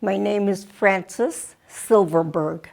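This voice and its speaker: Older woman, 80s, from Poland; gravelly, slow, gentle memoir. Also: mid-range voice. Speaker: Holocaust survivor interviewee. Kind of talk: recounting childhood memories. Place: indoor interview set with photo wall.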